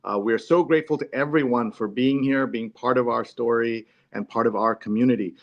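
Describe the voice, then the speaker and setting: A man's voice: medium pitch, fairly even intonation, fairly quick. Man hosting, dull lo-fi audio. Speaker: host of a live newspaper discussion show. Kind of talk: casual live talk-show chat.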